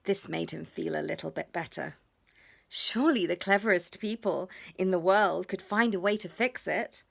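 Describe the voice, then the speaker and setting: A woman reading a children's storybook expressively, with drawn-out emphasis and hushed moments. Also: on the low side, creaky. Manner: dramatic pauses, drawn-out emphasis, drops to hushed tones.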